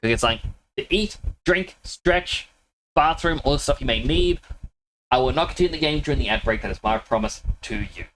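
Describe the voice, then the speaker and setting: A man's voice: medium pitch, lively intonation, fairly quick, fairly bright tone, a little hiss or hum, rough recording. A man streaming a video game, chatting casually with viewers, laughing often and a little sniffly with a cold. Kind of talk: casual live-stream chat.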